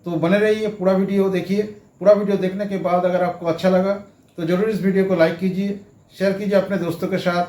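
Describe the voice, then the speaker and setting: A man's voice: high voice, little pitch movement, a little fast, warm tone. A man presenting an informal how-to lesson, explaining step by step and often repeating his phrases. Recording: echoey room sound.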